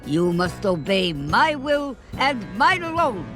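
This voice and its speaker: A gloating sorceress duck. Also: female voice, a little high, sing-song, slow pace. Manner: broken English that drops its articles, gloating. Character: scheming sorceress duck woman, theatrical and spiteful. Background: game music.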